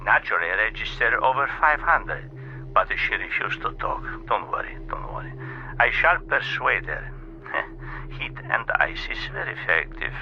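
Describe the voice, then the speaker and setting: A sinister criminal mastermind at sea, low-voiced and deliberate, cold-blooded in his menace. It is radio drama, heard through a muffled, noisy radio link. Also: sing-song. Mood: cruel, menacing.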